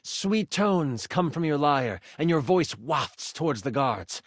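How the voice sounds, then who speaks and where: A man's voice: a little high, very animated, takes it slowly, no echo, studio quality, heavily acted. A man voicing a game master who narrates a fantasy quest in a video game with theatrical, dramatic delivery and playful asides. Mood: playful, excited.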